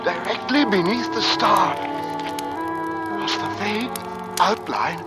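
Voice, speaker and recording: An actor in an old-time radio drama playing an exhausted, desperate polar sailor who narrates his ordeal in halting, dramatic phrases. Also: high-pitched. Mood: weary, haunted. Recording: vintage radio recording under loud music.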